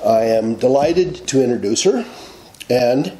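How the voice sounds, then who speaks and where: A man hosting an interview, courteous and measured, prompting his guest with questions. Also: medium pitch, expressive, dark tone, roomy sound, slight background noise, low-quality recording. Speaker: interview host. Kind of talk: casual interview.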